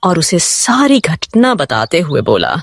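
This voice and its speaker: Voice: medium pitch, wildly swooping pitch, measured pace, creaky, fairly bright tone, soft background music. A narrator reading a scripted story in a dramatic storytelling style.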